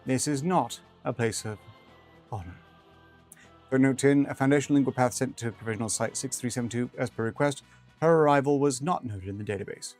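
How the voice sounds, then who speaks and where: A man's voice: medium pitch, fairly quick, darkish tone. A man reading fiction aloud as a streamer, with dry, rambling asides in formal wording. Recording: music behind the voice.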